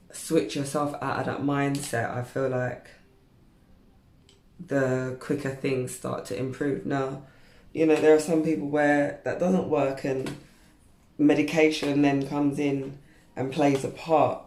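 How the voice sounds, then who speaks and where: A woman's voice: very deep voice, flat delivery, measured pace, a little mellow, echoey room. A woman in her thirties chatting candidly to camera while straightening her hair, rambling with lots of fillers. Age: 30s. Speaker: beauty vlogger.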